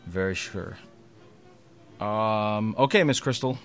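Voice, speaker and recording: A lower-voiced man co-hosting a podcast in an easygoing, agreeable way. He rambles through his points. Faint music plays under his voice. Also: slow. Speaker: podcast co-host. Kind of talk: casual chat.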